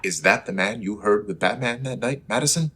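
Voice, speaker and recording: An actor playing an earnest, exasperated superhero reporter in a comic old-time radio serial style, with formal, dramatic exclamations. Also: male voice, fairly low pitch, a little fast, sharp tone, breathy edge. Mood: earnest, investigating.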